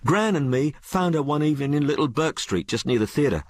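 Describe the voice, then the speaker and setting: A man voicing a rough, frank working-class young woman in an audiobook, blurting out her tale in colloquial, ungrammatical speech. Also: a little high, lively intonation, a little fast, pitched above the performer's own voice. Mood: frank, gossipy. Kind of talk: audiobook character dialogue.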